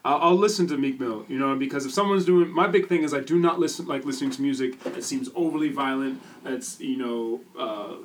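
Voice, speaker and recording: A young man with a Midwestern accent, chatting casually and joking as a podcast co-host, often trailing off into quieter asides. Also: medium pitch, fairly quick, few pauses, far from the mic, slight background noise. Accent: Midwestern American. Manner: laid-back, joking, drops to quiet asides. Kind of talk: casual chat.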